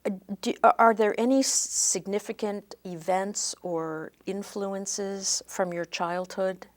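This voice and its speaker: A woman interviewer who asks polite, measured questions in an oral history interview. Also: medium pitch, steady tone.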